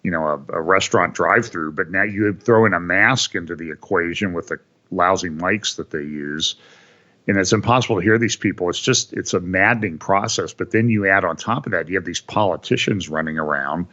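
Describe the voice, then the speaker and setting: A man's voice: deep voice, fairly quick, sharp tone, dull audio. A man, a guest on a call-in talk show, chatting about politics in a wry, exasperated way, often going on at length. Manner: exasperated, wry, talks in long runs. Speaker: guest commentator. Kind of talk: casual political chat. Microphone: phone or call line.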